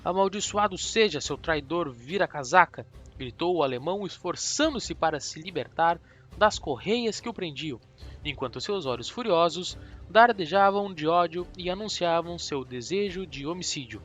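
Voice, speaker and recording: A man narrating an audiobook in a measured, slightly theatrical way. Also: fairly high pitch, lively intonation, soft background music. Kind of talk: scripted narration.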